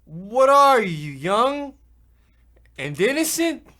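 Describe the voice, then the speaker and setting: Comedy radio play: sly older-brother boy, played by a man, high voice, slow folksy delivery, bossy and stilted. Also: big pitch swings, pushed and bright, heavily acted. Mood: bossy, needling.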